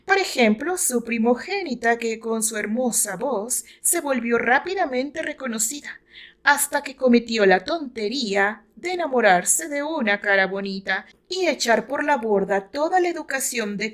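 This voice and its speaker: Woman, Mexican accent, slightly high voice, audiobook narration, dramatic fiction, measured, expressive storyteller. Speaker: author narrating her own novel.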